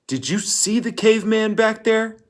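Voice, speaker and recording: A man's voice: high voice, fairly even intonation, moderate pace, voice pushed up, close to an everyday voice. A man reading a storybook, voicing a cocky, pushy teenage boy who talks insistently and needles his listener. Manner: insistent, needling, tag questions.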